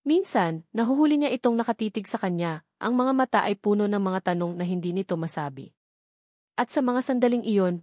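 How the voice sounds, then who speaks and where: A woman's voice: medium pitch, a little mellow, no room sound, clean studio sound. A woman reading a scripted romantic drama story as its narrator.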